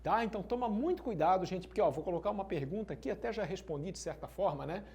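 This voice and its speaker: Lively teacher. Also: male voice, high-pitched, fast talker. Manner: slangy, informal, emphatic, with frequent check-ins to students. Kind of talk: exam-prep lesson. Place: classroom at a whiteboard.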